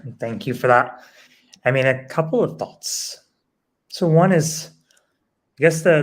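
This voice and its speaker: A man speaking as an education panelist, analytical, building his points through rhetorical questions. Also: mid-range voice. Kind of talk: panel discussion answer.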